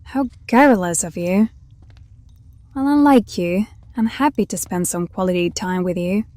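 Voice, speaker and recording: A woman's voice: mid-range voice, measured pace, warm tone, no echo, lightly acted. An actress playing a teasing, sardonic, hard-drinking female hireling who coaxes her companion in an audio drama.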